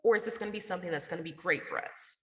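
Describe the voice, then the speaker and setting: A woman's voice: lowish voice, racing, fairly bright tone. An American woman from the South talking casually and slangily as a guest in a radio interview. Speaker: interview guest, podcast network founder. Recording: echoey, reverberant room.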